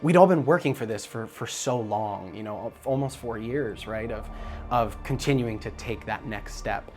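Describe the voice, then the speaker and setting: A man's voice: fairly low pitch, normal speed, darkish tone, soft background music. A man who works as a producer, recounting an anecdote in a casual interview.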